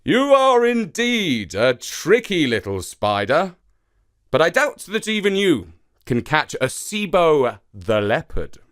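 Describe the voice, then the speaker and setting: Amused sky god done by a male storyteller, grand, theatrical, wide swooping pitch, unhurried, storybook deity. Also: big pitch swings, a bit bright, no room sound, studio quality, heavily acted. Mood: amused, impressed.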